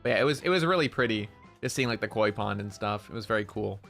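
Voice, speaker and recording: Young male streamer, nasal, loud and slangy, bright edge. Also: lowish voice, lively intonation, quick pace, vocal fry, soft background music. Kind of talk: casual stream chat.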